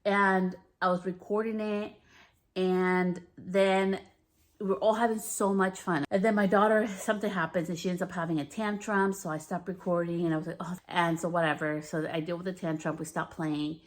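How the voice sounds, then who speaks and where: A woman in her thirties with a deeper natural voice, hosting a podcast in a frank, candid monologue that swears freely. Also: level tone, normal speed. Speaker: podcast host.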